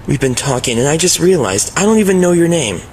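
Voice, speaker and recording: A man's voice: on the high side, expressive, brisk, a little hiss or hum. A man playing a polite, calculating teenage student in an anime dub, with secret schemes under the courtesy. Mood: polite, persuasive. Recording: voice under loud background music. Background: loud music.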